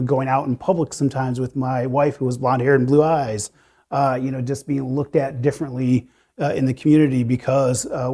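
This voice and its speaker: A man's voice: medium pitch, warm tone, husky. Earnest man telling a reflective story. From USA. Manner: earnest and reflective, many "you know" fillers. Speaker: mortgage lender on a panel. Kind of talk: panel discussion, personal stories.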